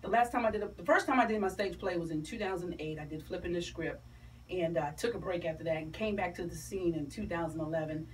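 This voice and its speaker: A woman's voice: lowish voice, fast talker. A woman with a Southern US accent speaking animatedly and colloquially as a guest in a radio interview. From South Carolina, USA. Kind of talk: radio interview, promotional chat. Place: small radio studio, indoors. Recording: distant mic, clear background room noise.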